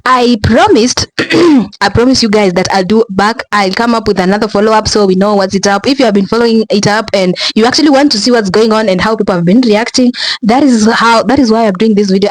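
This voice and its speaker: A woman's voice: mid-range voice, brisk, no room sound, low-quality recording. A young woman with a Kenyan accent, talking casually to her viewers in a rambling, self-correcting way. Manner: rambling, many restarts and filler words. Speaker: vlogger.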